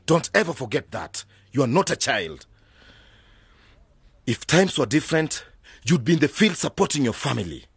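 An actor playing a stern, reproachful older uncle from a village in a radio drama, scolding and insistent. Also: male voice, a little high, big pitch swings, moderate pace, gravelly, pauses often, slightly rough, dry recording, studio quality, heavily acted.

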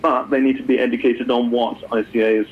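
A man's voice: mid-range voice, little pitch movement, fairly quick, breathy edge. A man explaining things as an expert guest in an interview, repeating words as he thinks aloud. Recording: muffled phone-like line.

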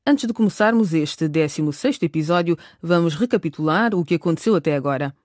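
A radio drama narrator who gives a clear, measured recap. The recording is dry and close, with no room sound. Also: medium pitch, expressive, a little mellow, dry recording. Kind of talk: scripted narration.

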